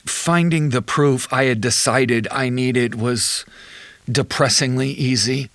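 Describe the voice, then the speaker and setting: An actor playing a betrayed husband, an accountant, in a measured, wry and bitter confession for an audio drama. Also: male voice, medium pitch, a bit bright. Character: betrayed husband, an accountant recounting his wife's affair.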